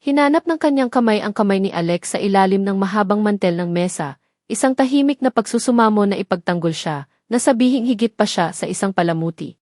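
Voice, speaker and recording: A woman's voice: mid-range voice, animated, a little fast, a little mellow, dry recording, studio quality. A woman narrating a scripted romantic drama story in a solemn, dramatic manner.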